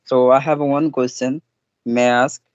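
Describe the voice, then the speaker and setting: A man's voice: mid-range voice, level tone, no echo. Indian man on a dull, dry line. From Bihar, India. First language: Hindi. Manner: careful, non-standard grammar, halting. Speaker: schoolteacher. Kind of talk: casual conversation practice.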